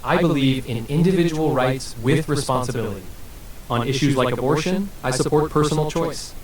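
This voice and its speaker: A synthetic male voice answering as an AI interview guest, smooth and evasive with scripted-sounding replies. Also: mid-range voice, brisk. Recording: roomy and distant with faint hiss.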